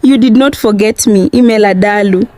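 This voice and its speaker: A woman voicing a tender, soft-hearted village woman in a folktale. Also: mid-range voice, normal speed, a little hiss or hum, natural voice. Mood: moved.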